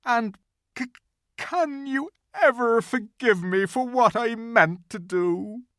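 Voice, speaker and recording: Timid gentle male faun for a storybook reading, high, tearful and drawn-out, voiced by the narrator. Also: clear, resonant tone, no room sound, clean studio sound. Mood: sad, gentle. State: crying. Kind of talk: audiobook character voice.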